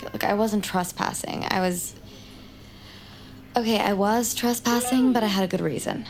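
A woman's voice: mid-range voice, moderate pace, close to an everyday voice. An actress playing an intense young woman in an audio drama, glib and socially blunt, with naturalistic delivery. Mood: defensive, earnest. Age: young adult. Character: glib, intense young woman, awkward true-crime survivor.

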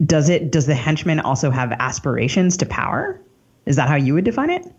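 A man's voice: a little high, sing-song, fairly quick, dull audio, a little hiss or hum. A man hosting a casual literary interview, inquiring and rephrasing his questions as he goes. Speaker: podcast host.

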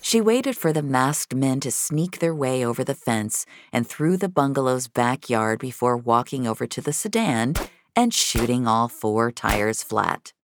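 Very low-voiced woman narrating fiction. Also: expressive, measured pace, dry recording.

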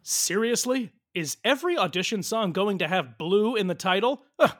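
A man's voice: sits high, expressive, moderate pace, a bit bright. An actor playing a catty, exasperated, campy male gossip in an audio drama, snarky and theatrical. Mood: exasperated, sarcastic.

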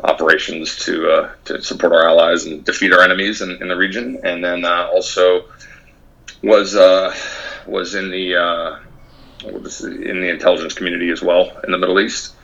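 A man being interviewed about his past in a measured way, with frequent fillers and restarts. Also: deep voice, vocal fry, sharp tone, slightly rough, muffled recording. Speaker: guest, former soldier.

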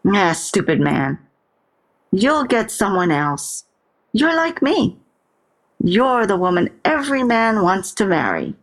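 A woman's voice: mid-range voice, big pitch swings, slow pace. A woman playing an older, ailing mother in an audio drama, fond and blunt as she teases her grown daughter. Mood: teasing, fond. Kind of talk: full-cast audio drama acting.

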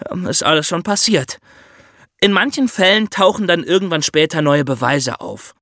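Guy podcast host explaining stuff with examples, really bright voice, kinda high, dry sound. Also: moderate pace, dry recording. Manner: explanatory, addressing co-hosts with examples. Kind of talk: conversational true-crime explainer.

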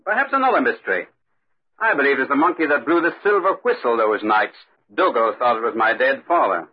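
A man playing a commanding, skeptical lord of a castle, brisk and authoritative, in a 1940s radio drama. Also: medium pitch, big pitch swings, husky. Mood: thoughtful, worried. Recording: muffled old 1940s radio recording.

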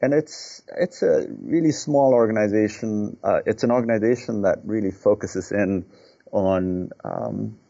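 A man from Nepal, a healthcare executive, answering podcast questions earnestly, often repeating words and pausing with fillers. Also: fairly low pitch, vocal fry, warm tone, dull audio.

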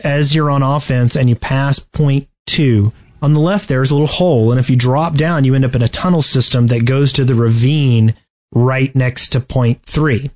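A man co-hosting a podcast, talking through game strategy casually and haltingly as he thinks aloud. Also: medium pitch, fairly even intonation, a little mellow. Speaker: podcast host.

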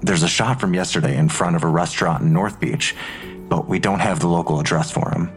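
A man's voice: sits low, brisk, soft background music, lightly acted. An actor playing a matter-of-fact male investigator methodically laying out a case in an audio drama.

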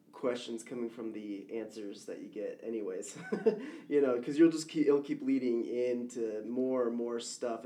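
A man with a touch of hoarseness talks casually as a podcast co-host. His pitch sits in the middle range, and his delivery is rambling and full of restarts. He laughs easily and talks about his own experience. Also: warm tone. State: slightly hoarse. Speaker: podcast co-host, newer coder. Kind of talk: casual chat.

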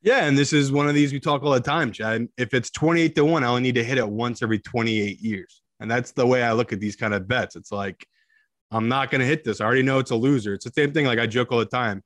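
A man's voice: mid-range voice, fast, fairly bright tone, no room sound, studio quality. A man co-hosting a betting show as an analyst, chatting casually in a blunt, opinionated way with emphatic repetition. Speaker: sports betting analyst, podcast co-host. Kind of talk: casual sports betting chat.

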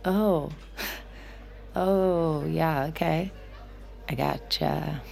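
A warm-hearted, easily flustered woman in a naturalistic audio drama. Her lowish voice crackles with fry, and she talks casually in halting, unhurried phrases. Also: soft background music, heavily acted. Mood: awkward.

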